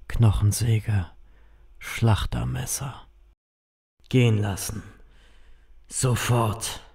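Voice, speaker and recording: Man playing a deep, brooding grim reaper narrator. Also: vocal fry, mellow, slightly rough, no echo, full character voice. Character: grim male ferryman reaper, world-weary, streetwise. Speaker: narrator and lead of an audio drama. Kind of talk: first-person horror audio drama narration.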